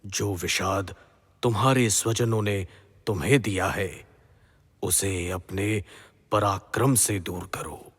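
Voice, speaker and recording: Man acting deep, slow, husky sage. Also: fairly even intonation, fairly bright tone, exaggerated voice. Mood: solemn, encouraging. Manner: solemn, measured, authoritative blessing. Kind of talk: devotional mythological audio drama acting. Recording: long reverberant hall echo.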